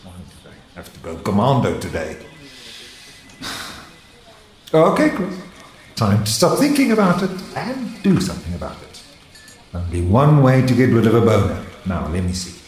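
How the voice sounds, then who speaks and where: Restless flirty office guy, very animated pitch, slow hesitant delivery, radio drama, music behind. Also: roomy sound. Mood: bored, muttering. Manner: hesitant, chuckling, muttering asides.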